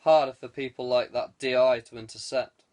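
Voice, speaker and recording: A man's voice: mid-range voice, fairly even intonation, brisk, a little mellow, voice pushed down, close to an everyday voice. A man voicing a clever, guarded man who speaks with courteous evasiveness in an audiobook. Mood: wary. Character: guarded, clever man fending off police questions. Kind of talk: audiobook character dialogue.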